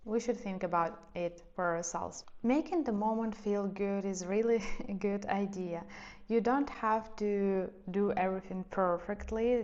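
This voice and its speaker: A young woman who is not a native English speaker, giving gentle, reflective advice in a casual vlog and often hedging with little fillers. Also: medium pitch, dull audio. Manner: soft, reflective, hedging with "let's say" and "I don't know". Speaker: lifestyle vlogger. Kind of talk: casual advice talk to camera.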